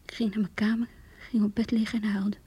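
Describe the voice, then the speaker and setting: Breathy, heartbroken young woman. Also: mid-range voice, flat delivery, no room sound. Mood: numb, sorrowful. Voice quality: breathy, hushed. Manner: intimate, halting, sorrowful. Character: heartbroken young woman confiding her lost love. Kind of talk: radio play monologue, diary-like narration.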